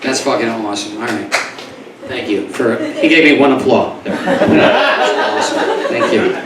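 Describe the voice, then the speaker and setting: A man from New York doing a stand-up comedy set, rambling and stumbling over his words, then breaking into loud comic outbursts. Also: medium pitch, lively intonation, a little slow, background noise. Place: comedy club stage before a live audience. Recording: echoey club recorded from a distance, crowd audible.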